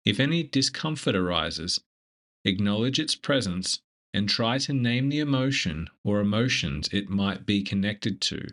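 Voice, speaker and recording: A man leading a scripted guided meditation in a gentle, measured, instructive manner. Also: fairly low pitch.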